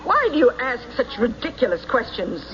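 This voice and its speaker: An actress playing a distraught, defiant farm wife in an old radio drama, emphatic and quick to bristle. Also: female voice, on the high side, huge pitch swings, a bit bright. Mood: indignant. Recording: muffled vintage radio recording, faint noise.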